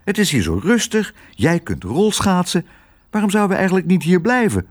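An optimistic drifter dad from a storybook, played by a man reading aloud, unbothered and reassuring. His husky voice rises and falls freely as he talks in a cheerful, easy way. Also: high-pitched, big pitch swings, moderate pace, voice pushed up. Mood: contented, persuasive. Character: easygoing, optimistic vagabond father.

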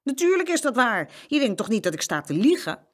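Casting: woman as a bragging, snooty kid for a children's storybook, raised pitch, swooping delivery. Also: very animated, brisk. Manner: bragging, condescending. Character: boastful, haughty older neighbour boy, sneering and stubborn. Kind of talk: children's storybook character reading.

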